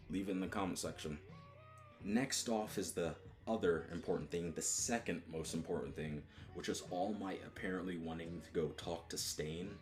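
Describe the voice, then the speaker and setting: A man giving a casual solo video review, talking in a mostly flat, monotone way and restarting his sentences often. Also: low-pitched. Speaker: manga reviewer.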